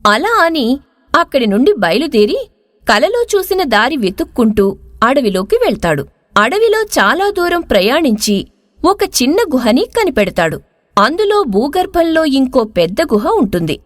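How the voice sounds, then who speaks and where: An expressive woman narrates a scripted moral tale. Her voice is high and very lively in intonation, with a dry, close sound. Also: moderate pace, no room sound. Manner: expressive storytelling. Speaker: story narrator.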